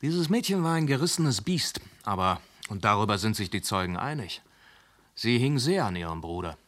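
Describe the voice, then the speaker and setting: An actor playing a calm male police inspector who questions witnesses and reasons through a case in a radio drama. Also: lowish voice. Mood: reasoning. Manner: measured, reasoning.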